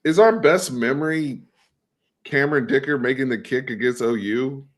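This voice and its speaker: A man in his thirties from Texas hosting a casual sports talk stream, animated and fast, often repeating words as he builds his point. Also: medium pitch. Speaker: host.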